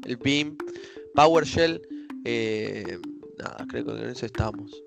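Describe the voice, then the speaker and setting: A man talks through tech topics in a relaxed, easygoing way. He stops often for fillers and restarts. His pitch sits mid-range, and faint music plays behind him. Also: takes it slowly, creaky. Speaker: co-host. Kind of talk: casual tech chat.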